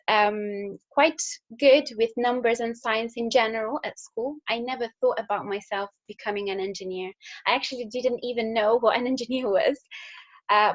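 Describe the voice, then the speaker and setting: A woman's voice: a little high, dull audio. A young woman from Italy with an Italian accent, talking casually to school pupils, with fillers and restarts. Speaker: engineer. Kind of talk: recorded talk to school pupils.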